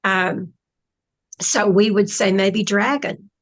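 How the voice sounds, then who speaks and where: A woman's voice: mid-range voice, steady tone, slow pace. A woman in casual conversation, explaining her spiritual channeling in a reflective way, with trailing phrases.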